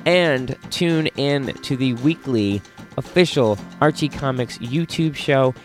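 A man's voice: medium pitch, takes it slowly, faint music behind. A man hosting a podcast in a casual, enthusiastic, rambling style. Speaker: podcast host.

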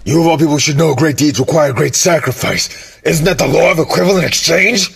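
A man's voice: a little high, fairly quick, vocal fry, a bit bright, slightly rough, heavily acted. A man playing a menacing, bitter rogue alchemist in an anime fan dub, theatrical and taunting. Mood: cold, menacing. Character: menacing rogue ice alchemist, bitter war veteran villain.

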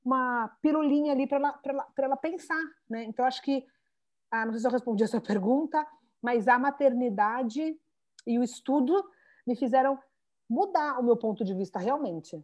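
A Brazilian-born woman in her thirties, telling stories with lots of restarts in a relaxed interview. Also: high-pitched, a little fast. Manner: animated storyteller, many restarts and "né". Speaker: founder of a Portuguese school for children. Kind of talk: conversational interview.

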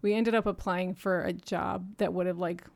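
Gravelly-voiced woman in her thirties, earnest. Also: fairly low pitch, steady tone, moderate pace. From Japan and New York City. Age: 30s. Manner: earnest, reflective, laughs often between thoughts. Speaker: podcast guest. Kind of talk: candid personal storytelling.

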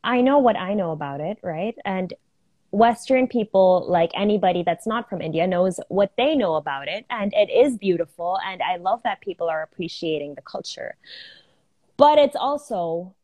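A woman's voice: mid-range voice, expressive, moderate pace, a little mellow, no echo, dull audio. Informal woman, closely recorded dry sound. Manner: informal, thinking aloud. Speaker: astrology enthusiast in conversation. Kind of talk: casual personal conversation.

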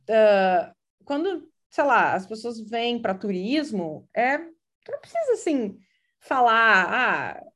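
A woman from Brazil with a gaúcho accent, talking casually and hesitantly, full of fillers and restarts. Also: a little high. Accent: Gaúcho Brazilian Portuguese. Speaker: interviewee. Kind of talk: casual conversational account.

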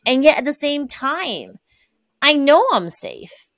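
A middle-aged woman from Taiwan, speaking as a podcast guest in an earnest, candid way with lively emphasis. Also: high-pitched, slow, no room sound. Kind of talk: conversational interview.

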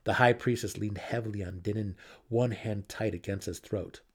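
A man reading a fantasy audiobook as a dramatic narrator, with theatrical, deliberate emphasis. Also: fairly low pitch, steady tone, slightly rough.

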